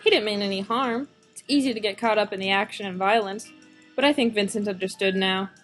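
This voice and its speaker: A woman's voice: medium pitch, fairly quick, fairly bright tone, soft background music, natural voice, rough recording. Woman playing a tough hard-boiled noir detective. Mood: brooding narration. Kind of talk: amateur noir radio drama acting.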